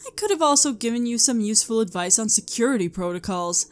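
A woman plays an immortal pony princess in a fan audio drama. She is breezy and amused, and her pitch swings widely as she speaks. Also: animated, quick pace, close to an everyday voice.

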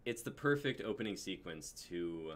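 A man's voice: low voice, animated, normal speed, a little hiss or hum. A man in his twenties hosting a casual livestream over a video call with friends. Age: 20s. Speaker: stream host. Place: indoor room on a video call.

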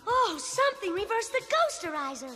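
A woman's voice: extremely high pitch, big pitch swings, moderate pace, pushed and bright, heavily acted. A woman voicing a young female ghostbuster from the future in a cartoon, urgent in delivery. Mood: surprised. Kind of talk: cartoon heroine acting. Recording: long reverb, faint music.

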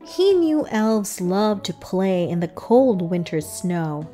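A woman's voice: medium pitch, big pitch swings, slow, dark tone. A woman narrating a children's read-aloud, reading rhythmic verse expressively. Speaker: storybook narrator. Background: music.

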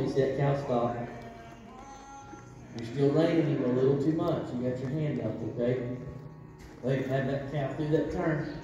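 A Texan riding instructor calls out short, steady commands to his students, calm and encouraging. He is picked up by a camera far off in a big covered arena, his voice ringing with long echo. The audio is dull, with music playing behind him. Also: mid-range voice. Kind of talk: coaching riders live from the arena. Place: large covered indoor arena. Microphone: camera far across the arena.

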